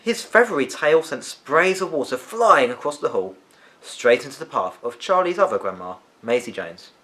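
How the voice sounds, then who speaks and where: Male storyteller, mid-pitched voice, very animated intonation, expressive children's book narration. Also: normal speed.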